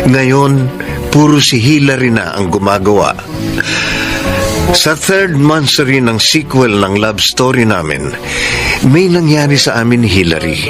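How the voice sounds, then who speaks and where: Loud music swells under a man acting a proud young Filipino lover in a radio drama. His delivery is earnest and wounded, with animated, swinging intonation at an ordinary male pitch. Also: lightly acted. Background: loud dramatic background music.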